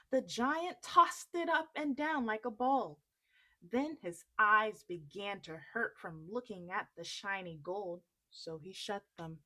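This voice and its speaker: Female storyteller for children's read-aloud: bright tone, slow and deliberate, expressive. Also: fairly high pitch, low-quality recording. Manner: unhurried, deliberate.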